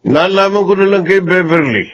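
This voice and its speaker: An actor playing a principled, mildly stern middle-aged father in a radio drama, measured and reproving in manner. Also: sits high, lo-fi sound, a little hiss or hum, lightly acted. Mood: disappointed.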